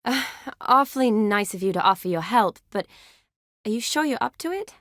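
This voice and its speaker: An actress playing a kind, spirited young woman medic in a video game, teasing and wistful, speaking in an expressive, conversational way. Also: a little high, normal speed, no echo, studio quality. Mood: hesitant, earnest. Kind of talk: video game dialogue acting.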